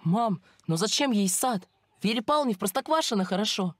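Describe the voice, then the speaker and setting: A woman's voice: medium pitch, animated, a little fast, dry recording, studio quality, close to an everyday voice. A woman voicing an earnest, sensible young boy in a children's cartoon, speaking plainly. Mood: pleading.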